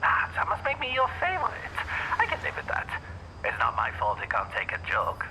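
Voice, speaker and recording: A man's voice: medium pitch, huge pitch swings, a little fast, gravelly, heavy background noise. Man playing a theatrical, swooping, teasing phone caller. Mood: playful, teasing. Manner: flowery, archaic, teasing phrasing. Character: playful, theatrical, cryptic male demigod-kin ally on phone. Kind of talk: audio roleplay drama acting.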